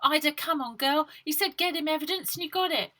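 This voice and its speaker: Woman acting streetwise girl, bossy, storybook, raised bright voice, brisk commands. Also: high-pitched, pitched above the performer's own voice. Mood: coaxing, firm. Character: bossy, streetwise girl rallying her gang.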